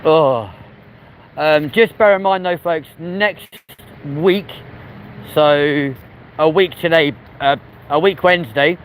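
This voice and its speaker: A man's voice: on the high side, noisy background. A young man with a London accent, chatting casually as the host of a live stream outdoors in a city, joking and reading out comments. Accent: London, with a mix of areas. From South West London, England. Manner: chatty and jokey, reads chat comments aloud.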